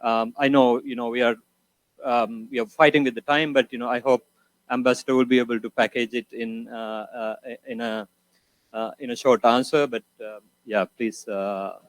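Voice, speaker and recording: A man with a Sri Lankan accent moderates a conference panel. His mid-pitched voice moves haltingly, padded with frequent "you know", and the sound is close and dry, free of room echo. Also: fairly even intonation.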